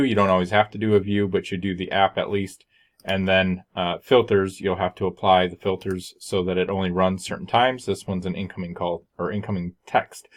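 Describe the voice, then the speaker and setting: A man presenting an informal software tutorial in a matter-of-fact, explanatory way. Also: low voice. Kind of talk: informal screen-share walkthrough.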